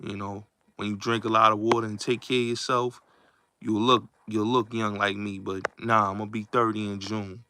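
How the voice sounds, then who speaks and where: A man's voice: lowish voice, flat delivery, normal speed, pushed and bright. A man in his late twenties hosting a casual livestream, speaking emphatically and repeating himself as he addresses viewers directly. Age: late 20s. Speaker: host. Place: New York.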